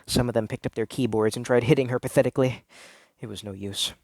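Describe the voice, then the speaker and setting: A man narrates a first-person story with earnest drama, as if confessing. His voice sits a little low and the recording is dry and close. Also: fairly even intonation, quick pace, dry recording. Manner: theatrical, earnest confessional delivery.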